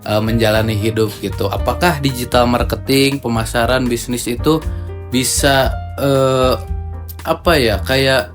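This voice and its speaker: Hesitant young co-host, music behind. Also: male voice, mid-range voice, fairly even intonation, measured pace. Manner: hesitant, searching for words. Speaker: podcast co-host. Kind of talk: casual chat.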